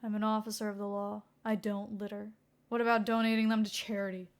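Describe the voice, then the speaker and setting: A woman's voice: medium pitch, little pitch movement, brisk, natural voice. A woman playing an officious, dogged male detective. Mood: indignant. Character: dogged, self-righteous male police detective. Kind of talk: acted fan fiction dialogue.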